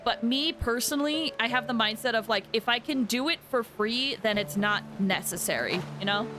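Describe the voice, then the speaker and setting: A woman's voice: a little high, normal speed. A woman game streamer chatting casually to her live viewers. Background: game music.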